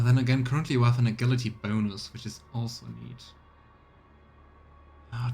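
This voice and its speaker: A man streaming a role-playing game, chatting casually as he plays and rattling off item descriptions in quick bursts. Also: mid-range voice, a little mellow. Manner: thinks aloud, reads item text in quick bursts. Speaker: let's play streamer. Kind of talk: casual gameplay commentary.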